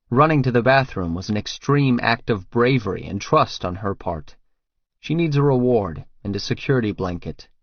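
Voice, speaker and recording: A man's voice: medium pitch, no echo, muffled recording. A man reading scripted first-person fiction as an audiobook narrator.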